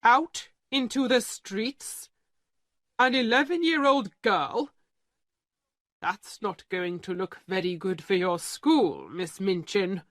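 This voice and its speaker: A woman voicing a calm, blunt male lawyer who speaks in a flat, matter-of-fact way. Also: mid-range voice, takes it slowly, dry recording, studio quality.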